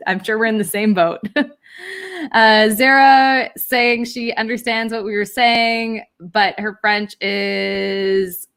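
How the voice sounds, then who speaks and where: A woman's voice: fairly high pitch, fairly even intonation, takes it slowly, creaky, a bit bright, smooth. Chatty young woman streamer. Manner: chatty, reads out viewer comments, many fillers. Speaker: livestream host. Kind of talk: casual chat on a writing livestream.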